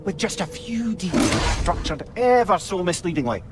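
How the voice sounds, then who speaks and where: An actor with a Scottish accent playing a wry, learned old advisor in a video game, eloquent and theatrical. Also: male voice, high-pitched, very animated, normal speed, loud music behind the voice. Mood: relishing, theatrical. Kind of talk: dramatic video game acting.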